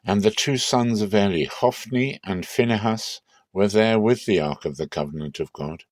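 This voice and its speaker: A man with a lowish voice reads scripture as drama. His delivery is measured and solemn but expressive, and the sound is dry and close, free of room echo. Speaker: Bible reader.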